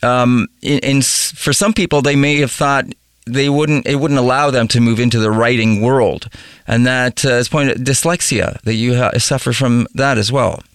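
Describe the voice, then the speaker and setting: A male host asks interview questions in a halting, encouraging way, with lots of "uh"s between phrases. His voice is smooth and resonant, and it sounds dry and close to the mic. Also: mid-range voice, steady tone, no echo. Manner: warm, hesitant, many "uh"s, encouraging.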